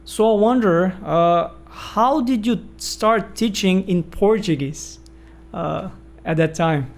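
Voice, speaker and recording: A man interviewing a guest, asking brief questions and offering soft backchannels. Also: sits high, slow, vocal fry.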